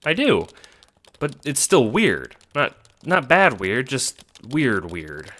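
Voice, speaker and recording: A man's voice: medium pitch, huge pitch swings, slow, sharp tone, voice pushed up, exaggerated voice. A man playing an awkward, hesitant teenage prankster who questions their gender, in an audio drama. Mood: shy, unsure. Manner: hesitant, searching for words.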